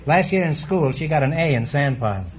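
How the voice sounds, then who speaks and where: A middle-aged man hosting a quiz show, teasing his guests with quick puns and asides before a live audience. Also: on the high side, lively intonation, heavy background noise. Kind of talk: wisecracking quiz show banter. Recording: dull old radio broadcast, audience behind.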